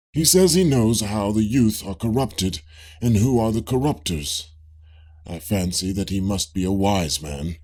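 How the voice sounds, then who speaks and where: Male audiobook reader for classical texts: low, slightly rough voice, lively intonation, measured and formal. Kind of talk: scripted reading of a classical dialogue.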